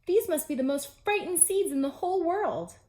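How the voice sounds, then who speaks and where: A woman's voice: very high-pitched, lively intonation, moderate pace, dark tone, pitched above the performer's own voice. A woman voicing an anxious, eager male toad in a children's storybook, emphatic and childlike. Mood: worried. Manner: exclamatory, emphatic.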